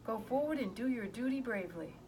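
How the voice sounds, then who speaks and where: A woman's voice: on the high side, lively intonation, fairly quick, noisy background, voice pushed up, lightly acted. A woman voicing a timid, blustering male army commander in a storybook. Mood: commanding.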